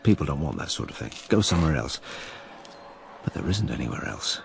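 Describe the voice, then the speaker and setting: A wry man narrating his own journal in a radio drama. The voice is very deep and gravelly, with big swings in pitch. He muses aloud, cynical and dramatic. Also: sing-song, fairly quick, faint music behind, exaggerated voice. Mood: bleak. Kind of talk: dramatic first-person narration.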